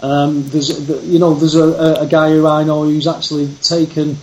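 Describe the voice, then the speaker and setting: A man's voice: a little high, flat delivery, moderate pace, darkish tone, dull audio. A man speaking as a guest in a casual conversation, laying out his case in an earnest, insistent way.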